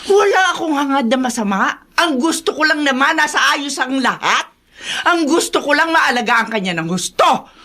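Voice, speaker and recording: A woman's voice: high voice, animated, moderate pace, pushed and bright. A woman playing a nagging, possessive mother-in-law who scolds reproachfully in a Filipino radio drama. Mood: indignant, scolding.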